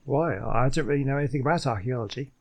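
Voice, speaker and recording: A man's voice: medium pitch, fast, creaky, darkish tone, slight background noise. A man hosting a gaming video, giving casual let's play commentary and thinking aloud.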